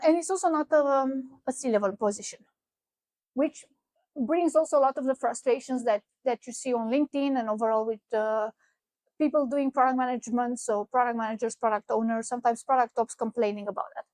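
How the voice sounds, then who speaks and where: A woman's voice: sits high, moderate pace, darkish tone, dry recording. A middle-aged woman from Romania with a Romanian accent, giving a conference keynote in a conversational way, with frequent fillers and restarts. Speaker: keynote speaker. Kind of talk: conference talk. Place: conference venue.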